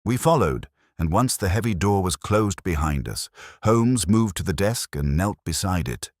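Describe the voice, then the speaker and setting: A man reading scripted audiobook narration of a Victorian detective story in a measured, formal, dramatic manner. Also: low voice, lively intonation, dry recording, clean studio sound.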